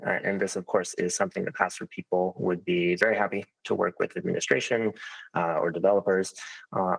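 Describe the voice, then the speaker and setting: Male speaker at council, low rough voice, dry sound, hopeful and cooperative. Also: no echo, poor audio. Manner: earnest, conciliatory, with frequent "uh" fillers. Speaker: public speaker at a council hearing. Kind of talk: prepared public statement. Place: council chamber.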